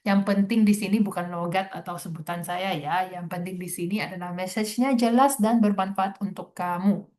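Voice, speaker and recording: A woman's voice: fairly low pitch, steady tone, normal speed, darkish tone. Sabahan woman hosting a trading webinar. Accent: Sabahan Malay. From Sabah, Malaysia. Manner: chatty, mixes English words into Malay. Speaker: webinar host and trader.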